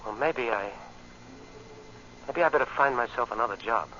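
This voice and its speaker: An actor playing an earnest, anxious young man from the farm, polite and halting, in an old-time radio drama. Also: medium pitch, sharp tone, close to an everyday voice. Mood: anxious, pleading. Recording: dull, noisy old radio recording.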